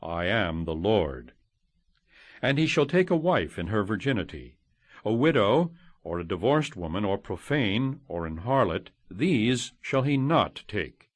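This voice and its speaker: A man reading scripture as an audiobook narrator, solemn and measured, declaiming each phrase and letting it fall at the end. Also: on the low side, big pitch swings, no room sound. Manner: measured, declamatory, phrases ending on falling cadences.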